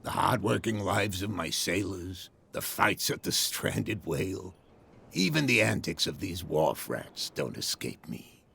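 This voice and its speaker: An actor playing a proud, courteous harbor captain in a video game, with a raspy, gravelly voice and stately phrasing. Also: male voice, low-pitched, lively intonation, measured pace, fairly bright tone, heavily acted. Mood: assured. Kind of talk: video game cutscene dialogue.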